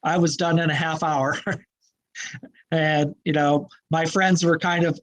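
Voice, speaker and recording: A man who guests on a hunting talk show, speaking in a relaxed, easygoing way. His voice sits a bit higher than most men's and comes across chatty and unpretentious. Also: a little slow. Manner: easygoing, laughs often. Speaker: guest hunter. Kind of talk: casual interview talk.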